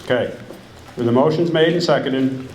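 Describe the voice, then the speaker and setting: A man moderates a town meeting, calling for seconds and discussion in a brisk, businesslike way. He is picked up from across a large echoey hall. A steady murmur of noise sits underneath him. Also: mid-range voice. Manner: brisk, procedural. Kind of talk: running a public meeting. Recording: echoey hall, far from mic, background noise.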